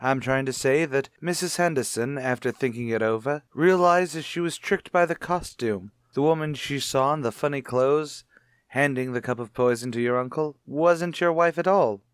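An actor playing a shrewd, probing police captain with a sardonic manner in a radio mystery. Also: male voice, mid-range voice, moderate pace, smooth, dry recording, clean studio sound, lightly acted. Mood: insinuating. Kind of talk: retro radio mystery acting.

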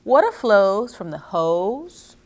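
A middle-aged woman reading and explaining to children as a story time host, expressive and speaking directly to her young listeners. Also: medium pitch, slow. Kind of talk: children's read-aloud and instruction. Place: small indoor reading nook with bookshelves.

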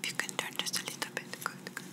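A woman playing a gentle, reassuring doctor in an ASMR exam roleplay, speaking in a soft breathy whisper with slightly phrasing. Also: fast talker, lightly acted. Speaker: ASMR performer. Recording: noisy close-up whisper recording.

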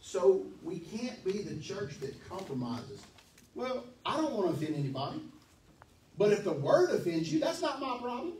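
Animated preacher man in an echoey hall. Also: high-pitched, moderate pace, recorded from a distance, a little hiss or hum. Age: 40s. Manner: animated, emphatic, pacing the stage. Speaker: pastor. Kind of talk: sermon. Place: church hall, medium-sized, indoors. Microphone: handheld mic.

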